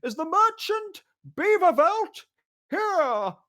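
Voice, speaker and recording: Shrill, far higher than the reader's own voice, this is a pompous, skinny official. He shrieks and wails his words in an audiobook reading. The voice has a strained, thin edge and swings widely in pitch. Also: very animated, slow, sharp tone, heavily acted. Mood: shrieking outrage. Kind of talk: audiobook character voice.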